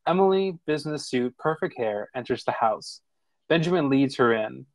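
A man's voice: medium pitch, lively intonation, normal speed, no echo. Narrator, male: mid-register, informal reading of stage directions, close dry sound. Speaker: narrator of a screenplay table read.

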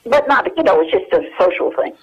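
An American woman in her eighties reminiscing casually and haltingly as an interview guest over the telephone. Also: medium pitch, animated, husky. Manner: halting, searching for memories. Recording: dull, lo-fi telephone hook-up.

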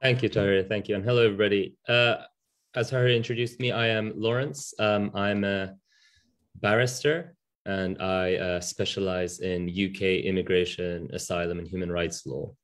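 A man's voice: low-pitched, steady tone, moderate pace. A man in his thirties, a Japanese native speaker, speaking as a barrister on a webinar panel over a video call from a home room, candid with frequent ums and restarts. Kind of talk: webinar talk.

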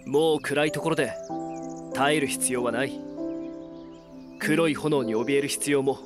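Resolute young adventurer, male actor: medium pitch, composed, low-key delivery over a musical score. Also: steady tone, unhurried, over music, close to an everyday voice. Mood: reassuring, dry. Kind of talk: audio drama acting.

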